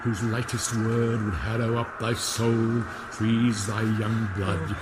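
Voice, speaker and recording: Slow, solemn, tormented ghost. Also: male voice, on the low side, level tone, heavy background noise. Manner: grave, portentous, drawn-out. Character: solemn, tormented ghost of a murdered royal father. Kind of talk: classical radio drama acting.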